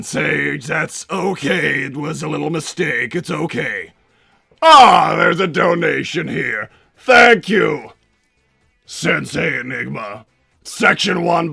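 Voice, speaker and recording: A man playing a pompous, irritable cartoon villain scientist and doting father, raspy and theatrical, bantering in character on a livestream. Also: on the high side, bright, forceful tone, exaggerated voice. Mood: exasperated. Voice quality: raspy, gravelly. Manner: theatrical, blustering, stammers when flustered. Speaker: livestream host reading donations.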